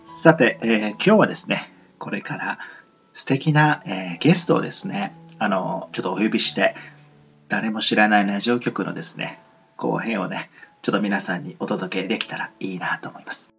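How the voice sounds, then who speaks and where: A man's voice: fairly low pitch, moderate pace, gravelly, fairly bright tone, husky, faint music behind. A man hosting an amateur radio show in a rambling, reflective monologue, hesitant and searching for words in a polite, earnest way. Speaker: radio host. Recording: dull lo-fi audio.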